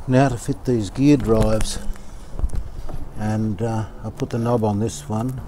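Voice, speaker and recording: A man from Australia with a lowish, very mellow voice talks casually through workshop repairs. He is unhurried and halting, thinking aloud as he goes, with some background noise. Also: steady tone. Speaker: radio restorer presenting his own repair videos. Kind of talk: casual hands-on workshop narration.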